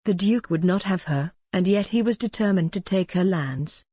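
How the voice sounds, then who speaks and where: A woman reading scripted historical romance as an audiobook narrator, measured and articulate. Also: lowish voice, warm tone, slightly rough, no echo, studio quality.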